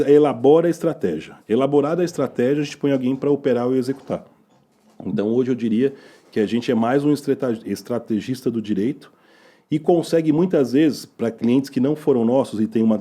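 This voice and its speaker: Joking mellow-voiced Brazilian man. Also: medium pitch, breathy edge. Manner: joking, teasing, explains at length. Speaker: lawyer and guest. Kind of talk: casual interview chat.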